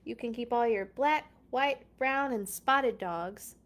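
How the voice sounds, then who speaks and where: A woman reading a children's picture book aloud in an expressive, playful way, with chatty casual asides. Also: on the high side, slow pace.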